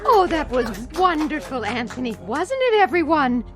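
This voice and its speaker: Gushing, high-voiced small-town woman. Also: sing-song, moderate pace, music in the background. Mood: forced delight. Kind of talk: radio drama acting.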